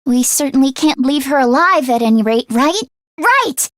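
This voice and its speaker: A woman voicing an anxious, earnest teenage girl mechanic in a video game, with an expressive, hesitant delivery. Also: sits high, moderate pace, dry recording, studio quality. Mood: exasperated, firm. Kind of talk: English video game voice acting, isolated voice lines.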